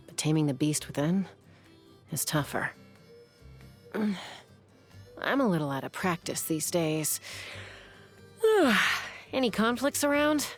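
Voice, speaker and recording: Cool, low-voiced gunslinger warrior woman. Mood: cool, confident, then wry, grumbling. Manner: cool, self-assured, measured, wry. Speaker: video game voice actor. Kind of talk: scripted game character voice lines.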